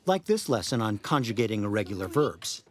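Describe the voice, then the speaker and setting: Man, American accent, news correspondent, scripted report narration, animated intonation. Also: fairly low pitch, fairly quick.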